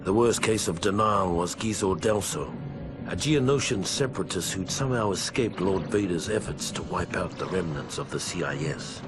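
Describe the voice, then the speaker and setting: An actor playing a weathered veteran clone trooper, narrating his war memoirs for a video game in a measured, grave, wry soldierly manner. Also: low-pitched, close to an everyday voice. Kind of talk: scripted in-character journal narration for a game. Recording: music behind the voice.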